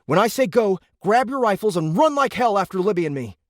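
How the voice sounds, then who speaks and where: A man's voice: sits high, big pitch swings, fairly quick, dry recording. A man playing an earnest teenage boy recruit who takes command in a science fiction audiobook. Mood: urgent, commanding.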